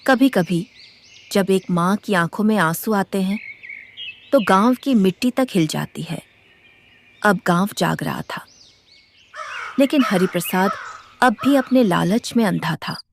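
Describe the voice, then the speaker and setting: A woman's voice: mid-range voice, animated, a little slow, creaky, long pauses, slight background noise. A woman narrator reading a scripted, dramatic story. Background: loud background music.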